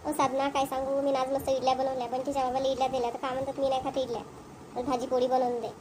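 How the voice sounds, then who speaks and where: Village mother for cartoon comedy female performer: high voice, homely gossiping manner, Varhadi Marathi accent, slightly noisy recording. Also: completely flat delivery, very fast, close to an everyday voice. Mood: neighbourly. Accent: Varhadi Marathi of Vidarbha. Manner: chatty, homely, repetitive phrasing. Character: chatty village housewives and mothers, homely, mildly exasperated. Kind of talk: amateur cartoon comedy dialogue.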